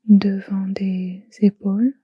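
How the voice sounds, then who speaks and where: A woman guiding a relaxation meditation in a soft, hushed, soothing way, in short phrases with long pauses. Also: medium pitch, flat delivery, breathy edge, no room sound, highs cut off. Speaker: yoga nidra guide.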